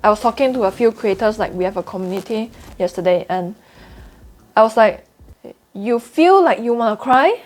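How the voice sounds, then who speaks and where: A woman's voice: on the high side, animated, normal speed. A young woman with a Singaporean accent talking candidly to camera in a vlog, earnest and confiding, repeating points for emphasis. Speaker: trading YouTuber.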